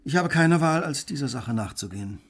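An actor playing a keen, determined Victorian gentleman detective in a radio play, with precise, polite diction. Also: medium pitch, animated, a little fast. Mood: resolute.

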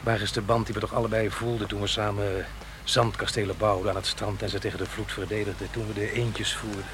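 A man acting a middle-aged divorced father in a radio drama. His voice is on the low side, earnest and halting, questioning himself and reproaching others as he gropes for contact. Clear noise runs behind the recording. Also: little pitch movement, close to an everyday voice. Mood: wistful, pleading. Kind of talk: Dutch radio play acting.

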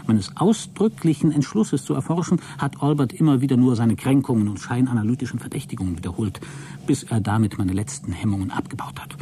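A man playing a self-righteous, scheming space traveller in a radio play. He dictates his log formally and wordily in a mellow, dark voice of medium pitch, with faint noise behind. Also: close to an everyday voice. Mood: cold, resolved. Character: wounded, prudish, coldly scheming male space traveller. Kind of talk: radio drama monologue, spoken log entry.

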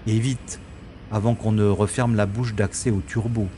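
A man playing a sardonic special agent in a science-fiction audio drama, with a mocking, theatrical and deliberate delivery. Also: lowish voice, level tone, background noise, natural voice. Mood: musing, scornful. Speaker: lead actor and narrator of audio drama. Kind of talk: science-fiction audio drama acting with inner-monologue narration.

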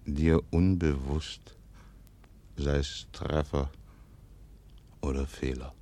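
Very deep, slow, stern aristocratic commander. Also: male voice, fairly even intonation, warm tone, full character voice. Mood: brooding, grave. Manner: grave, measured, declamatory. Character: stern, calculating, commanding aristocratic father and military governor. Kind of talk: 1940s German radio play acting.

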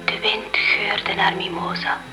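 Actress doing a ghostly young noblewoman in love, very slow breathy whisper, music all over it. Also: medium pitch, level tone, lightly acted, poor audio. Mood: dreamy, tender. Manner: slow, dreamy, reminiscing. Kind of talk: radio drama acting.

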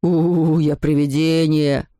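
Woman playing a cocky, low-pitched young boy. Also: flat delivery, slow, warm tone, no room sound, studio quality. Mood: taunting. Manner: taunting, smug. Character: cocky, teasing young boy, bossy older brother.

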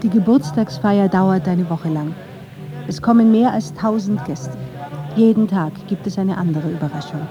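A woman's voice: medium pitch, moderate pace, dull audio, music in the background. A woman reading scripted narration for a radio documentary.

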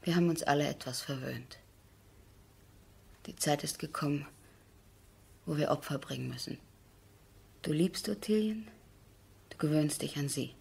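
A woman acting in a 1970s German radio drama as a composed, resolute aristocratic wife, with measured, formal diction. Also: low voice, creaky, darkish tone, breathy edge, full character voice. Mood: grave, reasoning. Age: middle-aged.

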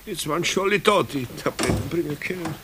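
A man's voice: on the high side, animated, fast talker, a bit bright, a little hiss or hum. An actor playing a grumbling but good-hearted older Bavarian craftsman who feels poorly, in a children's radio play. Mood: flustered. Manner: grumbling, sighing.

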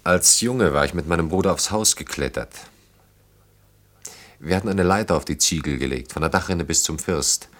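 Brooding engineer guy in a radio drama, deep voice, thinking out loud, stops and starts. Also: normal speed. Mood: melancholy, recounting. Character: brooding engineer haunted by wartime childhood and lost friends. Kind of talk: German radio play acting.

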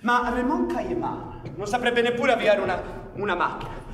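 A man acting a proud, weary anarchist intellectual in a stage play, ironic and declamatory with deliberate pauses. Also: sits high, a crowd in the background, natural voice. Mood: ironic, guarded. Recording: very reverberant theatre stage.

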